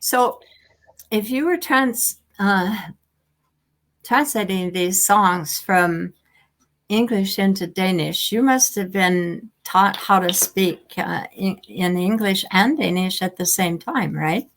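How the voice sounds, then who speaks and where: A woman's voice: medium pitch, slow. A woman hosting an interview, asking long rambling questions with restarts and laughing often. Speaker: interview host. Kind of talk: casual interview.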